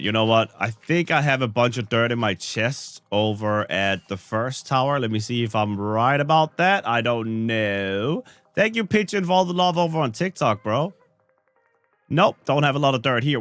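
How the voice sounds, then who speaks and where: A man's voice: mid-range voice, fairly bright tone, dry recording. A man with a Danish accent, joking and rambling to his chat while he plays a game, a little under the weather. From Denmark. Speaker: streamer. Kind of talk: live-stream chat while playing a game.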